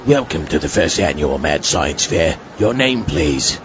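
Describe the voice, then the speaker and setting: Formal, officious gatekeeper male actor, low and unhurried, noisy audio comedy backdrop. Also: very animated, heavily acted. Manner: deliberate, formal. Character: slow, officious male science fair greeter. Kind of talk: audio comedy drama acting. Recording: muffled audio with clear background noise.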